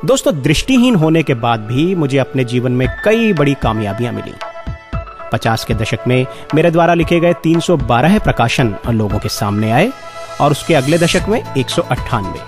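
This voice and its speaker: A man's voice: mid-range voice, big pitch swings, moderate pace, over music. A man playing a dignified blind scientist who earnestly recounts his life in a radio narration. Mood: earnest, proud. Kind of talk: dramatized first-person radio narration.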